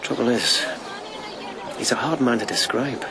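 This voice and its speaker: A pragmatic lieutenant in a radio drama. He talks drily and reasonably in a mid-pitched voice, with clear outdoor ambience behind him. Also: lively intonation, a little slow, noisy background. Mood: wry, pragmatic. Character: practical, wry male lieutenant on a search.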